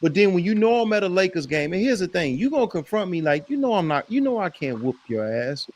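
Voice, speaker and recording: American guy hosting sports debate, really high voice, swoops all over, emphatic, repeats himself. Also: sing-song, quick pace. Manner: emphatic, repeats phrases for effect. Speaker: host of a sports debate show.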